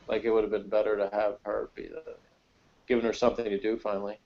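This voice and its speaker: A man's voice: on the low side, little pitch movement, fast talker, vocal fry. A man co-hosting a casual, opinionated chat, talking in a meandering, conversational way peppered with "like". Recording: slightly dull, lo-fi voice recording.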